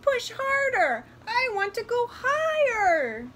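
A woman voicing a bratty little girl doll come to life in a storybook, shrill, demanding and squealing out commands. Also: big pitch swings, slow, background noise, exaggerated voice.

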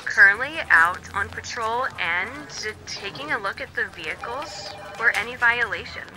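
Patrol officer, voiced by a roleplayer: dutiful, businesslike, mid-pitched, audio drama. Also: expressive, normal speed, over loud music, close to an everyday voice, low-quality recording.

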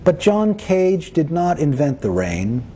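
A man reading his own poems as a poet, with measured, deliberate phrasing and pauses between short phrases. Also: fairly high pitch, sing-song, mellow, a little hiss or hum. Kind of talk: poetry reading of own work.

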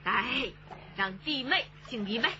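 An actress playing a bustling, hospitable wife in a radio drama, effusive and coaxing. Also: female voice, fairly high pitch, expressive, unhurried. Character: bustling, hospitable wife pressing food on guest. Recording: muffled, very noisy radio drama scene.

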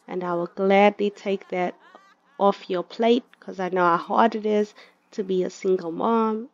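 A woman's voice: mid-range voice, creaky, a little mellow. Woman voicing a falsely sweet stepmother. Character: cold scheming stepmother, middle-aged woman. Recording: slightly dull lo-fi recording.

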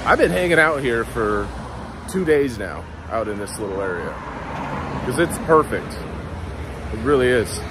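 A man's voice: medium pitch, animated, measured pace, pauses often, background noise. A man around his forties talking casually and informally as a laid-back travel vlogger. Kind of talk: casual travel vlog narration. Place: outdoors on town streets and beach. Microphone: phone at arm's length.

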